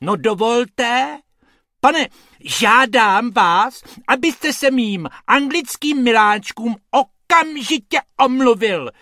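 A man's voice: extremely high pitch, expressive, pushed and bright, no echo, studio quality, soft background music, voice pushed up, full character voice. A man voicing a haughty lady dog owner who talks shrilly and indignantly.

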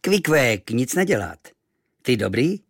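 A man's voice: a little high, big pitch swings, creaky, slightly rough, dry recording, studio quality, voice pushed up, heavily acted. A man voicing a wild tattooed harpooner. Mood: boisterous. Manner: broken speech, shrieks and guffaws. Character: tattooed hulking male islander harpooner, wild, loud.

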